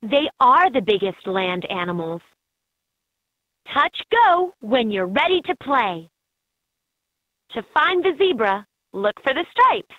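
A woman's voice: on the high side, very animated, slow, long pauses, dry recording, full character voice. A woman voicing an upbeat guide in a children's educational animal game, in scripted narration with slightly dull game audio.

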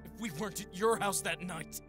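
A man's voice: high-pitched, fairly even intonation, brisk, a bit bright, soft background music. An actor playing a grieving, vengeful young man in an audio drama, speaking with emotional intensity. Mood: anguished, vengeful.

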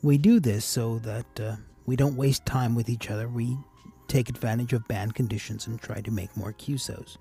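A man giving a casual, measured instructional voice-over as an amateur radio operator hosting a tutorial. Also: mid-range voice, steady tone, mellow, breathy edge, soft background music.

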